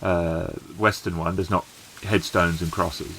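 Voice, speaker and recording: A man's voice: deep voice, steady tone, measured pace, a bit bright, slight background noise, low-quality recording. A man narrating a tabletop roleplaying game as game master.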